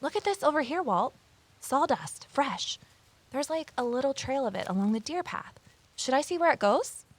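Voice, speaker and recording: An actress playing a curious, eager former journalist in an audio drama, with a conversational, inquisitive delivery. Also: female voice, high voice, very animated, normal speed. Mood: alert, intrigued. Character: curious female ex-journalist, eager new conservation worker. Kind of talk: audio drama dialogue and first-person narration. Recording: clear background noise, outdoor ambience.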